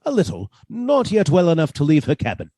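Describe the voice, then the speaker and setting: A man's voice: a little high, wildly swooping pitch, fairly quick, dark tone, husky, dry recording, clean studio sound, exaggerated voice. A man playing a mannerly, henpecked professor who is secretly a scheming killer, with formal old-fashioned phrasing, in a radio suspense play. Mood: guarded, polite. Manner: formal, old-fashioned turns of phrase, hesitant when flustered.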